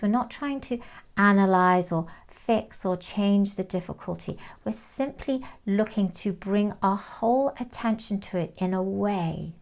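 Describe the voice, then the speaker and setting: A woman leading a guided meditation in a calm, soothing, unhurried manner, with soft phrase endings and long pauses. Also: mid-range voice, gravelly. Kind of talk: guided meditation, gentle instruction.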